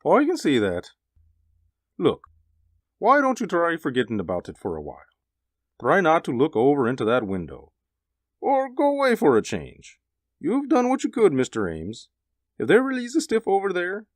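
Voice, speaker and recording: A performer voicing a blunt, skeptical male police officer who talks in gruff slang in a radio drama. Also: mid-range voice, huge pitch swings, moderate pace, no room sound. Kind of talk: hobby radio drama acting.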